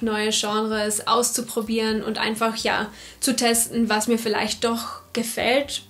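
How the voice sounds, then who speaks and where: A young woman in her twenties, a book vlogger whose first language is not English, chatting enthusiastically to camera and stacking up repeated words for emphasis, in a room lined with bookshelves. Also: a little high. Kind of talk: casual book talk to camera. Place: room with full bookshelves, indoors.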